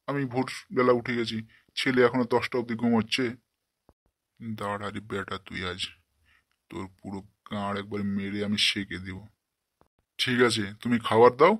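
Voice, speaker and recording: A man voicing a short-tempered, foul-mouthed village father in a comic cartoon, gruff and scolding. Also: lowish voice, a bit bright, dry recording, clean studio sound. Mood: irritated, suspicious.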